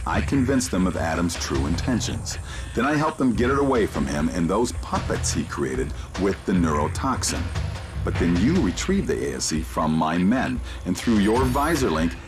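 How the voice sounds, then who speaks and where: An actor playing a mysterious male handler who guides an agent by radio, calm, commanding and instructive. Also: deep voice. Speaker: mission guide in a video game. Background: game score music.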